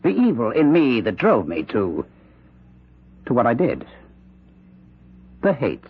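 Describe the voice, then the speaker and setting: A sinister prisoner who claims a killer's spirit, in an old radio play. His husky voice swoops widely as he taunts and orates. The recording is dull and lo-fi. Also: medium pitch, huge pitch swings, slow, full character voice. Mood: anguished intensity. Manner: taunting, theatrical, oratorical. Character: sinister prisoner possessed by Jack the Ripper's spirit. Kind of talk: 1970s radio drama acting.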